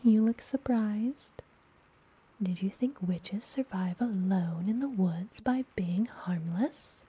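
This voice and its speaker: A woman playing a friendly, mysterious witch healer in a whispered ASMR fantasy roleplay, soothing and gently teasing. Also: mid-range voice, slightly rough, rough recording. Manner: soothing, unhurried, softly whispered.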